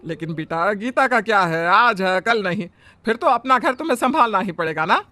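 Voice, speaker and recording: A man's voice: high voice, expressive, normal speed, sharp tone, dry recording, exaggerated voice. Man voicing a bossy mother-in-law. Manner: scolding, complaining. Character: bossy, fussy middle-aged mother-in-law. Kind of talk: Hindi family drama voice acting.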